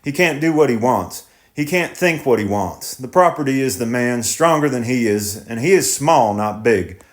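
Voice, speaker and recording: A man's voice: mid-range voice, expressive, close to an everyday voice. Stubborn tenant farmer, pondering, plain rural talk; male narrator voicing him. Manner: slow, pondering, plain-spoken.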